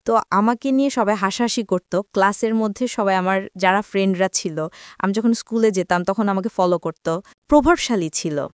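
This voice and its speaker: Clear-voiced young Bangladeshi woman. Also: medium pitch, moderate pace, no echo, clean studio sound. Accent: Bangladeshi Bengali. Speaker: interview guest. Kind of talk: personal life storytelling in an interview.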